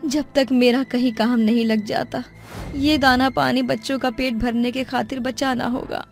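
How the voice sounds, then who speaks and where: A woman's voice: sits high, fairly even intonation, moderate pace, gravelly, pitched above the performer's own voice. A woman voicing a poor, long-suffering, loving mother in a cartoon story, with a pleading manner. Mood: worried. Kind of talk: cartoon voice acting. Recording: music under the voice.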